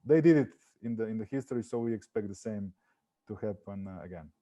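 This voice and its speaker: Mellow Croatian-accented man on a business panel. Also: fairly low pitch, animated, a little fast. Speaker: business panelist, partner of a messaging app. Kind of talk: unscripted business discussion.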